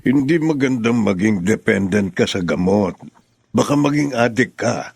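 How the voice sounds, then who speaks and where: An actor playing a calm, steady widowed father who has remarried, in a radio drama. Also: on the low side, measured pace, gravelly, slightly rough, no room sound, clean studio sound, full character voice. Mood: reassuring. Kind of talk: Filipino radio drama acting.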